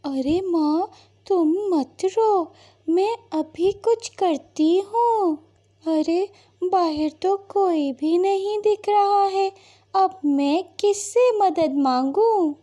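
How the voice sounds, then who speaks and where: A woman's voice: extremely high pitch, extremely slow, dark tone, exaggerated voice. A woman voicing a caring, eager young girl bird in a Hindi cartoon story, with a childlike, earnest manner. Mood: worried.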